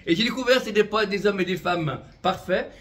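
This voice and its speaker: A man's voice: sits high, fast, fairly bright tone. A man in his fifties, originally from Vietnam, an itinerant prophetic minister giving a religious testimony, speaking fervently and often adding amen and voilà. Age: 50s. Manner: fervent, peppers speech with amen and voilà, light chuckles.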